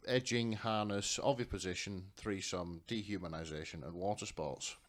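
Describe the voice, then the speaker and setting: A man hosting a podcast in casual chat, speaking in a halting, hesitant way. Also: sits low. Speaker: podcast host.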